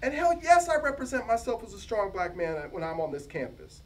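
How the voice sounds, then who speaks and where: A man's voice: sits high, sing-song, fairly quick. A man voicing a brash, confrontational American hip-hop professor in a swaggering, emphatic, slangy way during a book reading. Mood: defiant. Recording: distant mic, faint room noise.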